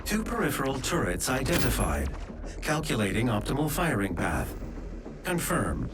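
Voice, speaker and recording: An actor playing a calm, calculating artificial intelligence guide in a video game, with clipped, formal delivery. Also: male voice, medium pitch, moderate pace. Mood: calm, instructive. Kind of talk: scripted game dialogue. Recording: loud gunfire and artillery noise.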